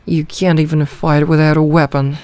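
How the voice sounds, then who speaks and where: A woman playing a possessive, commanding female werewolf leader in an ASMR audio roleplay, with deliberate, formal phrasing. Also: low voice, level tone, warm tone, dry recording, natural voice. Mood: scornful, commanding.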